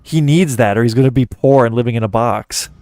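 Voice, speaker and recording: Sarcastic profane man, crisp dry voice. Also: mid-range voice, brisk, a little mellow, no room sound. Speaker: commentary host. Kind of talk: mocking commentary.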